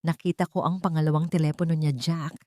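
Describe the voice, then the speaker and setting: A woman's voice: deep voice, steady tone, fast talker, warm tone, dry recording, clean studio sound, close to an everyday voice. A woman playing a betrayed wife in an audio story, urgent, direct and determined. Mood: direct, hurt.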